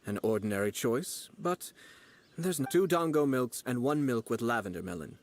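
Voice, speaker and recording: An actor playing a calm, refined young nobleman in a video game, speaking in a measured, formal way. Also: mid-range voice, a little hiss or hum, close to an everyday voice. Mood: composed.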